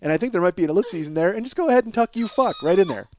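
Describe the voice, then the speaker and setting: A man's voice: high-pitched, lively intonation, racing, a little mellow, slight background noise. A man in his forties hosting a comedy podcast, rambling through casual stories in a profane, self-deprecating, emphatic way. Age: 40s. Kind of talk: rambling comic monologue, casual storytelling.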